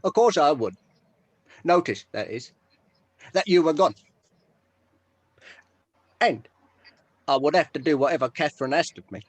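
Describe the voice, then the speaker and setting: A man's voice: fairly high pitch, lively intonation, unhurried, pauses often, no room sound. A man playing a wry, needling band member in a script read-through, with a dry, teasing manner.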